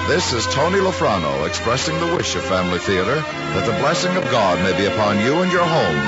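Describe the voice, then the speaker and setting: A man with a low voice reads as a 1950s radio announcer, formal and polished. His delivery swings expressively in pitch. Loud music plays behind him on a muffled vintage broadcast recording. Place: radio studio.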